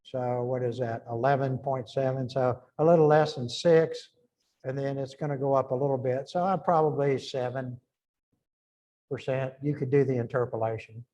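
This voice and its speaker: Halting man thinking aloud in lecture. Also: medium pitch, fairly even intonation, dark tone, highs cut off. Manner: thinks aloud, halting restarts while working numbers. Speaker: engineering lecturer. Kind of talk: informal classroom lecture.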